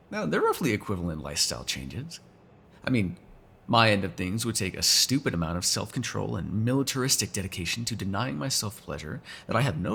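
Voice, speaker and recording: A man's voice: fairly low pitch, gravelly, fairly bright tone, a little hiss or hum, heavily acted. A man playing a sarcastic, teasing vampire who kills without regret, in casual, profane roleplay banter. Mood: wry, bantering. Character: snarky male vampire, restless unrepentant killer, teasing. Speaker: voice actor in an audio roleplay. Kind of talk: ASMR roleplay, casual one-sided dialogue.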